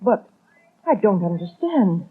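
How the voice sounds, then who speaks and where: An actress in a 1930s radio melodrama playing a bewildered, fearful wife with a plaintive way of speaking. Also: female voice, mid-range voice, very animated, slow, slightly rough, heavily acted. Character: Carolyn, frightened, bewildered wealthy wife. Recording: tinny 1930s radio broadcast.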